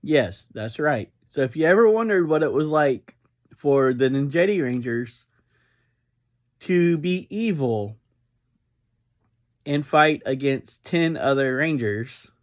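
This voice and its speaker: A man giving a casual fan review as a solo podcast reviewer, speaking in halting, broken phrases. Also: medium pitch.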